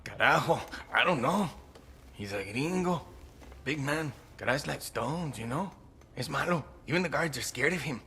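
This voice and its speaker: An actor playing a frightened cartel informant in a video game, halting and reluctant, with a Latin American Spanish accent. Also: male voice, fairly high pitch, expressive, sharp tone, a little hiss or hum. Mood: uneasy. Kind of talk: cinematic game cutscene acting.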